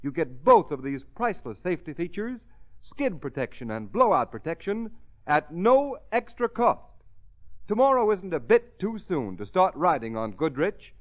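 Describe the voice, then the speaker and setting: A male announcer from 1930s radio delivers his script with dramatic emphasis. His voice sits fairly high and swings widely, on a muffled old broadcast recording. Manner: emphatic, dramatic, crisply enunciated.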